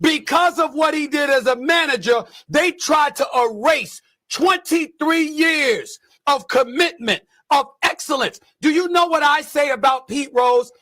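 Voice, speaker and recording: A man's voice: very high voice, a little slow, hardly any pauses, bright, forceful tone, no room sound. A man delivering an impassioned, indignant sports rant, hammering points with repetition and rhetorical questions. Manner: indignant, emphatic, rhetorical questions, repeats phrases.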